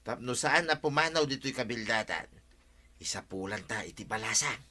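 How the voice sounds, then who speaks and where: A teasing middle-aged village uncle. He has an Ilocano accent and a bright, forceful voice at an ordinary male pitch, delivered as radio comedy drama. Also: lively intonation, normal speed, a little hiss or hum. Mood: scheming.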